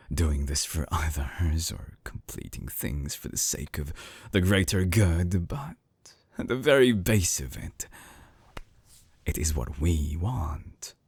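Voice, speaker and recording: An actor playing a suave, obsessive villain scientist in an intimate binaural audio drama, teasing and menacing, with a husky voice that slips into breathy whispers. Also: male voice, deep voice, animated, a little mellow, no room sound, heavily acted. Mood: smooth, persuasive. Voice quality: husky, gravelly. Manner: slow, theatrical, teasing, sliding into breathy whispers. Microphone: close binaural microphone.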